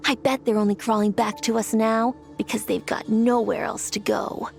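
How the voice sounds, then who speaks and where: A woman's voice: a little high, steady tone, moderate pace, gravelly, soft background music. A woman voicing a disdainful, gossiping desert clanswoman in a video game. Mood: scornful. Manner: scornful.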